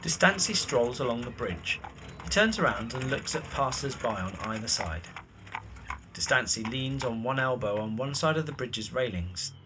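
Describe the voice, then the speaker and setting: A man's voice: mid-range voice, lively intonation, normal speed, background noise. A man narrating scripted scenes as stage directions for an audio drama.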